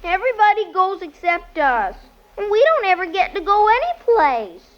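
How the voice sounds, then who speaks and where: A woman's voice: very high-pitched, big pitch swings, normal speed. An American female performer playing a sulky, plaintive little girl, the youngest child in an old-time radio family comedy. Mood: whiny, plaintive. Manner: plaintive whining. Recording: very noisy, dull old radio recording.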